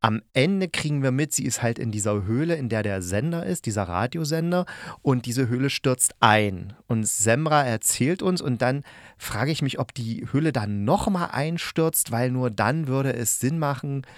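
A man's voice: medium pitch, lively intonation, normal speed, no echo, studio quality. A man hosting a podcast, reviewing in a casual, loose and meandering way as if thinking aloud. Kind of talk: casual spoken radio-play review.

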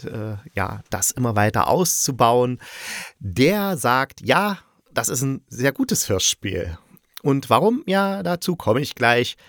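A man's voice: medium pitch, no room sound, clean studio sound. A middle-aged man giving a casual, unscripted review in a chatty, opinionated way. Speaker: radio play critic and podcast host.

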